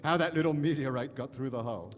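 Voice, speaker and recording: An actor playing a mischievous, petulant, lecherous alien villain with a whiny, wheedling delivery in a theatrical audio drama. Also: male voice, medium pitch, fast, lightly acted. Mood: grudging. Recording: echoey stage hall, faint noise.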